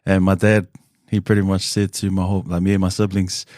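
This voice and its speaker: Deep-voiced New man, relaxed. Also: brisk, no room sound, studio quality. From New Zealand. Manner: relaxed and open, with frequent "um" and "kinda". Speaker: guest. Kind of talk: candid conversational storytelling.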